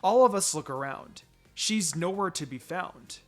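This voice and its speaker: A man's voice: high-pitched, animated, unhurried. A man reading a scripted first-person fan fiction story as its narrator. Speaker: story reader. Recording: music behind the voice.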